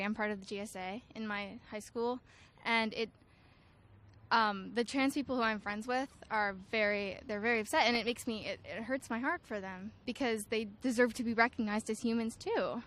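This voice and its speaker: A woman's voice: on the high side, moderate pace, fairly bright tone. A teenage girl answering a street interview outdoors, earnest and full of fillers. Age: teens. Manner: hesitant, filler-heavy, earnest.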